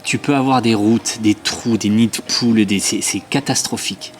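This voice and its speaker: A man co-hosting a casual travel vlog, blunt and emphatic and quick to laugh, recorded outdoors on a camera mic. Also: lowish voice, steady tone, slight background noise. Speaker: vlogger. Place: outdoors in hot weather.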